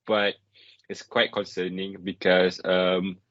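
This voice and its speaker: A man's voice: low voice, monotone, slow pace, fairly bright tone, no room sound, lo-fi sound. A young man from Malaysia, thoughtfully answering interview questions and using "like" often. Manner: thoughtful, many "like" fillers.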